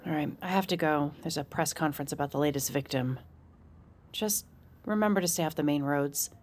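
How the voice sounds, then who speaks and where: A woman's voice: deep voice, fairly quick, darkish tone. A woman playing a protective, no-nonsense law enforcement sister in an audio drama, blunt and scolding, with an American accent. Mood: firm concern. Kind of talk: naturalistic audio drama acting.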